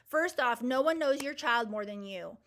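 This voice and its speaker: A woman's voice: on the high side, lively intonation, moderate pace, a bit bright. A woman in her forties hosting a live Q&A, answering viewer comments in an enthusiastic, emphatic and reassuring way. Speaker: host.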